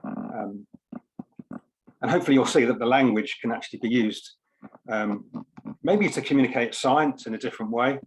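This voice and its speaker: A man's voice: medium pitch, normal speed, pauses often, a little hiss or hum, poor audio. A man giving an informal talk at an online launch, speaking in a hesitant, reflective way with careful hedging. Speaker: poet and scientist speaking at a book launch. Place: online event.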